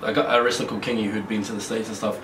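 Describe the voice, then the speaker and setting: A man's voice: on the low side, level tone, very fast. A young man with a New Zealand accent, chatting casually as an interview guest, laughing easily and rambling with many restarts. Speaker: guest wrestler. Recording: echoey room, distant mic, faint noise.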